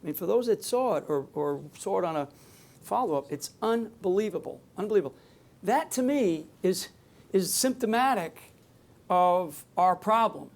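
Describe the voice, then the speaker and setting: A man's voice: high-pitched, animated, moderate pace. A man speaking off the cuff to a live audience from a stage, emphatic and rambling, often restarting his sentences. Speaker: public speaker on security. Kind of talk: unscripted talk to a live audience.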